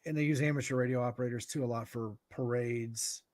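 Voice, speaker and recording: A middle-aged American man giving informal explanations in a halting way, as if thinking aloud. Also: medium pitch, steady tone, darkish tone. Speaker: guest radio expert.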